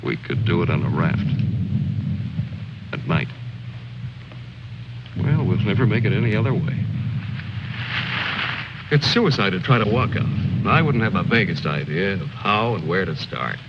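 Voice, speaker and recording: Self-assured lieutenant in old war movie, wry, swingy intonation, muffled track w heavy noise. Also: male voice, sits low, very animated, a little slow, long pauses, exaggerated voice. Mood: calm, reasoning. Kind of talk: old war film dialogue acting. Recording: muffled old film soundtrack, very noisy.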